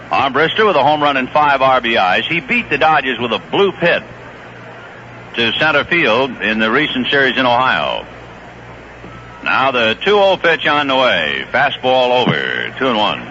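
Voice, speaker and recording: A man calling a baseball game play by play on the radio, chatting easily about the players between pitches. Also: slight background noise. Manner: relaxed, conversational storytelling between pitches. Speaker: play-by-play announcer. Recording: dull lo-fi 1970s radio broadcast.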